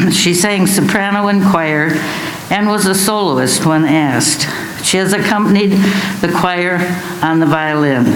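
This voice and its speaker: A man reads a prepared speech as an award presenter. His voice is on the high side for a man, heard with strong room echo and a little background noise. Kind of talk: prepared ceremony speech read aloud.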